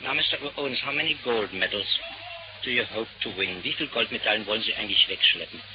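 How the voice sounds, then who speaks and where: A man's voice: lowish voice, fast talker. A man interviewing and interpreting on 1930s radio. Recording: noisy muffled 1930s radio broadcast.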